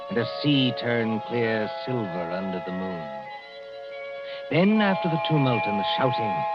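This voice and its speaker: An ominous mystery storyteller from old-time radio. His low voice is theatrical and measured, over clear music on a dull 1940s recording. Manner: measured, dramatic, literary. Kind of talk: 1940s radio drama narration.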